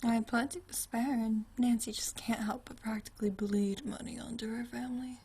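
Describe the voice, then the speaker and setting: A woman performs an ageless vampire lady with a soft, mellow voice pitched a little high. She speaks in a very husky, whispery hush, as in an intimate ASMR roleplay. Her manner is languid and genteel, full of dry, teasing wit. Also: steady tone, a little fast. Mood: languid, amused. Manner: teasing, dryly witty, languid, genteel turns of phrase. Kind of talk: ASMR roleplay, intimate one-sided conversation.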